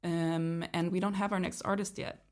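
A young woman raised in Canada, chatting as a podcast guest about her work, often pausing with ums and restarting sentences. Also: lowish voice, little pitch movement. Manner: hesitant, many ums and restarts. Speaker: guest, project leader. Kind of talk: casual interview chat.

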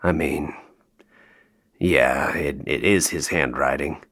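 An actor playing a bitter, grieving North Pole elf in an audio play, sarcastic and emotionally open. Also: male voice, extremely low pitch, takes it slowly, a bit bright, full character voice. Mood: stunned, quiet.

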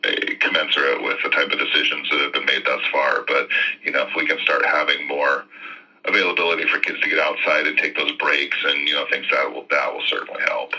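An American man, an official, answering interview questions off the cuff in a measured, hedging, conversational way. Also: extremely low pitch, little pitch movement, pushed and bright, breathy edge, poor audio. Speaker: school district official being interviewed.

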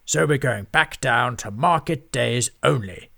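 A man voicing an enormous, greedy lord who runs a newspaper, booming and barking orders at his staff in a storybook reading. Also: unhurried, creaky, bright, forceful tone, no echo, studio quality, heavily acted. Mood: scheming.

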